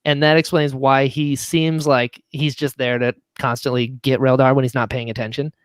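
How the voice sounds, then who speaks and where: A man chatting casually as a podcast guest and drama writer, telling stories and acting out quoted lines in an animated way. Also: medium pitch, normal speed, smooth, no room sound, studio quality. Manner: animated storyteller who acts out quoted lines. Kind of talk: casual chat.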